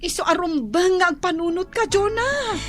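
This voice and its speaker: A woman's voice: extremely high pitch, animated, moderate pace, over music. A woman playing an anxious older sister with a scolding streak in a radio drama. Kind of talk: radio drama acting in Ilocano and Tagalog.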